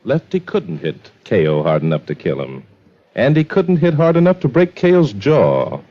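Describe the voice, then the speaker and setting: An actor playing a sharp, composed, suspicious amateur detective in 1940s radio drama style. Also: male voice, mid-range voice, wildly swooping pitch, moderate pace. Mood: suspicious, deliberate. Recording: muffled old radio recording.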